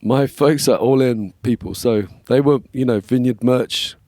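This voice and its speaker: An English man in his forties with a slightly scratchy voice, chatting casually as a podcast guest and telling stories in a relaxed way. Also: medium pitch, unhurried, no echo, studio quality. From England. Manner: relaxed, storytelling, frequent "yeah, man". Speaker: guest, worship label manager. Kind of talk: casual conversation.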